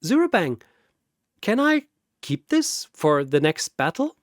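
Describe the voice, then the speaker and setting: A timid young woman soldier, as a man puts her on speaks in halting, unsure questions. The voice is pushed well above the storyteller's own and falls soft and quiet, recorded close and dry. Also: on the high side, sing-song, no echo, pitched above the performer's own voice. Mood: hesitant. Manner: hesitant, breathy questions.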